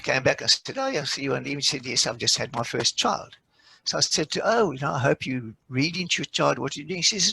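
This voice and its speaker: A South African man talks as an expert panelist, explaining through anecdotes. His voice is bright and forceful, husky with a gravelly creak, and recorded dry and close. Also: a little high, dry recording, rough recording. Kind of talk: panel discussion.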